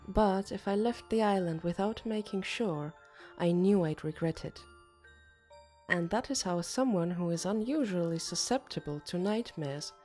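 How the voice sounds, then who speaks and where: A woman narrating an audiobook as a pensive, uneasy teenage boy, in a measured, introspective way. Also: lowish voice, dark tone, close to an everyday voice. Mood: pensive, resolute.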